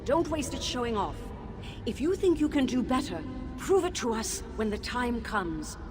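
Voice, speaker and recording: Solemn elf mage teacher over music. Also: female voice, fairly high pitch, sing-song. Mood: stern. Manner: solemn, formal, measured. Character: grieving freed elf woman's soul, gentle mage teacher. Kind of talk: scripted fantasy game dialogue. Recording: background music under the voice.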